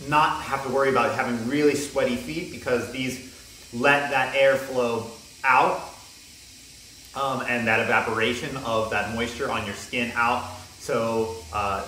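A young man giving a relaxed, casual product review with frequent ums and restarts, recorded on a camera mic in a bare room. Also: mid-range voice, a little slow. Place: bare indoor room with wooden floor. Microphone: camera mic, near then from a distance. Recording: echoey room, faint hiss.

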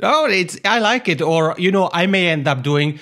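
A man's voice: high-pitched, expressive, normal speed, pushed and bright. A man with an Italian accent, a podcast guest chatting casually and laughing often. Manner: friendly, laughs often while talking. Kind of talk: casual interview chat. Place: lives in Finland.

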